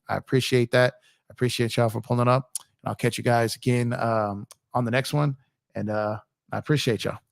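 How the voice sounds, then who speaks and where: A man hosting a casual basketball livestream, a little hoarse from losing his voice, chatty and rambling as he talks to his viewers. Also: mid-range voice, no echo, studio quality. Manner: chatty, rambling with restarts, speaks to chat viewers. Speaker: YouTube basketball streamer.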